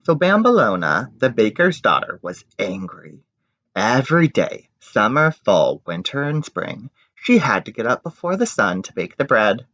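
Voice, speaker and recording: A man's voice: mid-range voice, big pitch swings, unhurried, fairly bright tone. A man reading a picture book aloud to children like a teacher, expressively and with chatty asides. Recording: dull, echoey room recording.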